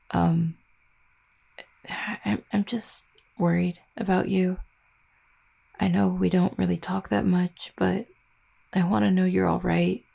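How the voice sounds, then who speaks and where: Woman acting as young vampire girlfriend, heavy vocal fry, breathy, tender and anxious, halting, intimate audio roleplay. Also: heavily acted. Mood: worried. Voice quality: breathy, gravelly low voice. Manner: hesitant, halting, soft-spoken.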